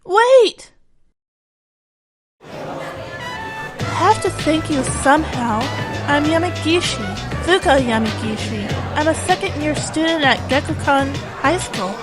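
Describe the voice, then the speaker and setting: A woman playing a meek, anxious, soft-spoken high school girl in a fan audio drama, earnest and timid. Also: lively intonation, slow, long pauses, loud music behind the voice. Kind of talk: fan audio drama, anime game adaptation.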